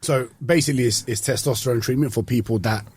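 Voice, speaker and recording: A man's voice: medium pitch, quick pace, darkish tone, slightly rough, no echo. A man chatting as a podcast guest in a casual, slangy, joking way. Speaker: podcast guest, fitness professional.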